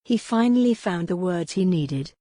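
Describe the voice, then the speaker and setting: A woman's voice: fairly low pitch, animated, normal speed, warm tone, no room sound, clean studio sound. A woman reading a historical romance novel aloud as a dramatic audiobook narrator.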